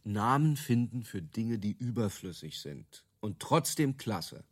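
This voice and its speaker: Wry self-assured inventor guy in a radio play interview, lowish voice, really animated pitch. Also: a little slow. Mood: amused storytelling. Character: cynical, self-assured male inventor of useless product features being interviewed. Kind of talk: conversational radio drama acting.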